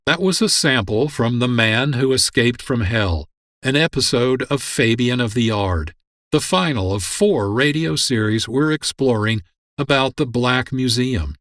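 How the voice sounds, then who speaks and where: A man's voice: medium pitch, lively intonation, unhurried, fairly bright tone, no room sound, clean studio sound. A man hosting a radio program, reading scripted narration and credits. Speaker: producer and host. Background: music.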